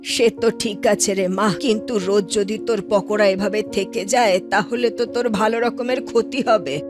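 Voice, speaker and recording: A woman voicing a kindly older village auntie in a cartoon, affectionate and careworn, speaking slowly like a mother. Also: mid-range voice, steady tone, over music, pitched below the performer's own voice, lightly acted. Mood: worried.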